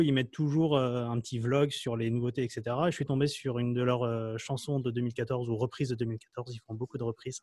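A man's voice: medium pitch, darkish tone, no echo, studio quality. A man in a casual tech chat, telling things in an informal, slangy, anecdotal way. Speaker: podcast panelist.

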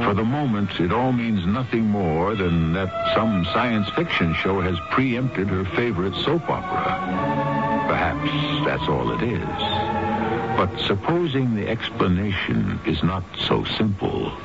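A man hosting and narrating a radio mystery drama in a measured, portentous, scripted style. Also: low voice. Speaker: host and narrator. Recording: dull radio recording under loud music.

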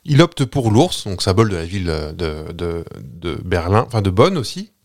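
Guy hosting a podcast, lowish voice, goofy and rambly, lots of euhs while he tells a story. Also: sing-song, moderate pace. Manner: playful, rambling, many hesitations. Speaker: podcast host. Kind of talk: casual chat, telling a story.

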